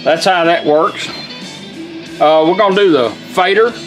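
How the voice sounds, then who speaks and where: Higher-voiced man demonstrating, music behind. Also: a little slow, fairly bright tone. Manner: plain-spoken, folksy, step-by-step. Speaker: vintage car radio restorer demonstrating a product. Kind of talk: informal hands-on product demonstration.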